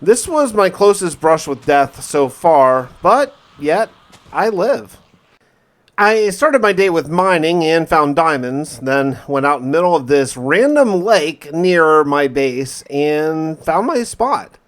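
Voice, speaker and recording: A man's voice: a little high, lively intonation, takes it slowly. A man narrating a survival game in character like a diary, musing aloud in a conversational way with questions to himself. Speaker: gaming video narrator and player.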